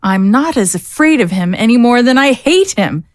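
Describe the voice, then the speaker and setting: A woman's voice: on the high side, animated, normal speed, a little hiss or hum, lightly acted. A woman playing a proud, principled warrior in a fantasy audio drama, firm, indignant and defiant. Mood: bitter.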